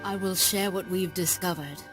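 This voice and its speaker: A woman's voice: lowish voice, steady tone, normal speed, soft background music, close to an everyday voice. A woman voicing a proud, ill alien matriarch who speaks to the Jedi with cold sarcasm, in a studio video game cutscene. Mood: bitter. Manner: sardonic, cold.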